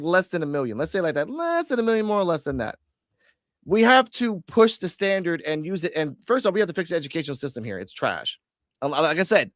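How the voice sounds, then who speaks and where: A blunt, profane man, talking on a casual podcast. His voice sits high, and the sound is dry and close. He swings from loud bursts down to low mutters. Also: no echo. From Puerto Rico.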